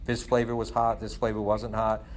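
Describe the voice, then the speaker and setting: A man's voice: on the low side, completely flat delivery, normal speed, a little hiss or hum. A middle-aged man from England talking casually in a rambling way, leaning often on "you know" and "like". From born in England to Guyanese parents of Indian descent. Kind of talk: casual conversational venting.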